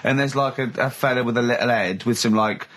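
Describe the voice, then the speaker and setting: A man's voice: medium pitch, steady tone, fairly quick, fairly bright tone. A man hosting casual radio banter, teasing and prodding with questions. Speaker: radio show host.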